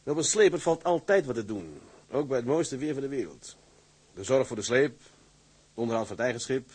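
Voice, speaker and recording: A man's voice: mid-range voice, lively intonation, fairly quick, a little mellow, slightly rough. A man playing a stern, lonely, principled tugboat captain in a radio drama, speaking earnestly and tersely. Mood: firm, earnest. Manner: earnest, terse, matter-of-fact. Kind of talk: Dutch radio drama acting.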